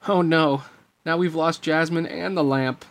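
A man's voice: medium pitch, normal speed, gravelly, close to an everyday voice. A man voicing an impassioned young street-rat hero, urgent and earnest, in a storybook reading. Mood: despairing.

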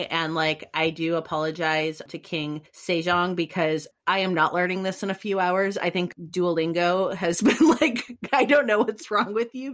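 A woman's voice: on the low side, fairly bright tone. A woman co-hosting a podcast, chatty and self-deprecating, filling her talk with "like.". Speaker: podcast co-host. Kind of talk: casual podcast chat.

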